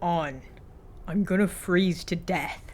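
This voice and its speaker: A grumpy teenage boy in a read-aloud story, acted by a woman. He is easily outraged and complains in exasperation, stretching his words out slowly. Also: on the low side. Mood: grumbling. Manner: loud, exasperated, dragged-out complaining.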